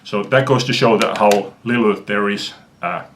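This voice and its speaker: A man from Finland with a Finnish accent, talking earnestly about his music collection in an unhurried video response, pausing often. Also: fairly low pitch, fairly bright tone, slight background noise. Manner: earnest, unhurried, frequent "uh" pauses. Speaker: music fan vlogger.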